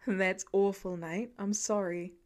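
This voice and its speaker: A woman voicing a calm, soothing father with gentle dry humour in a storybook reading. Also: medium pitch, takes it slowly, voice pushed down, natural voice.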